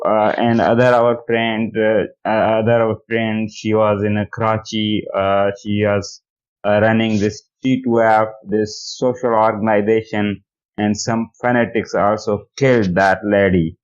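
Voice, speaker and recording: Man, Pakistani accent, very slow halting speech, smooth tone, dull lo-fi voice message. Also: lowish voice. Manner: halting, repetitive, searching for words in non-native English. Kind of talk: personal testimony in a voicemail.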